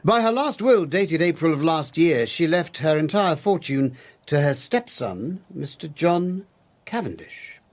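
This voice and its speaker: An actor playing a grave, formal English family solicitor with a deliberate manner, in a radio drama. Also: male voice, on the high side, big pitch swings.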